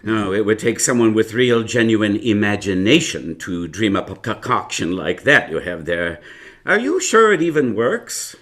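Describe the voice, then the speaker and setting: A man's voice: lowish voice, very animated, moderate pace, a bit bright. An actor playing a wry, reflective elderly uncle recounting his youth in a radio drama, in wordy old-fashioned phrases. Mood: amused, grumbling. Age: older. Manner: hesitant, chuckling, old-fashioned wordy storytelling. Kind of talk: live radio drama acting.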